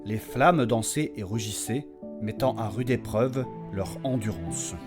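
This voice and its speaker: A man's voice: fairly low pitch, a little slow, music in the background, lightly acted. A man playing the storyteller of a fantasy saga, giving solemn, dramatic scripted narration. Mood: epic, solemn.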